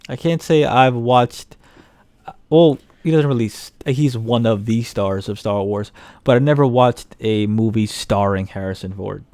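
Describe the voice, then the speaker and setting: A man's voice: mid-range voice, unhurried, a little mellow, clear, resonant tone, no echo. A man co-hosting a casual film review chat, blunt and joking, with a lot of swearing. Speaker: podcast co-host reviewing films.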